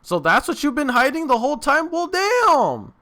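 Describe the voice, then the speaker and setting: A man's voice: very high-pitched, wildly swooping pitch, normal speed, sharp tone. A man hosting a reaction channel, rambling casually to his viewers in informal slang and repeating himself for emphasis. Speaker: host of an anime reaction channel.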